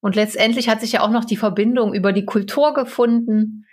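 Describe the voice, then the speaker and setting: A woman's voice: fairly high pitch, steady tone, fairly quick, a little mellow, breathy edge. A woman from eastern Germany co-hosting a casual podcast interview, chatty and given to chuckling. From eastern Germany (Upper Lusatia). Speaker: podcast co-host.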